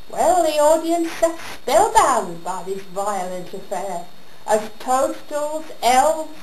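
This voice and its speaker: A woman recites a comic rhyming monologue in an echoey room with some background noise. Her delivery is theatrical and unhurried, with deliberate pauses and lively swoops of pitch. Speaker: monologue performer. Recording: roomy echo with background noise.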